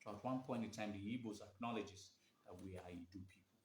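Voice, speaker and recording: A man's voice: on the low side, fast, slight background noise. A man hosting a live video from his phone, talking emphatically and often restarting his phrases. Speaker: livestream host. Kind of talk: live video talk answering viewer questions. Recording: echoey room, phone livestream.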